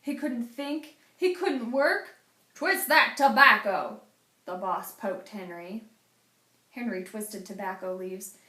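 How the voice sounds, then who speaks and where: A woman reading a children's picture book aloud as a teacher, with a deliberate, dramatic storytelling delivery. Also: mid-range voice. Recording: echoey room.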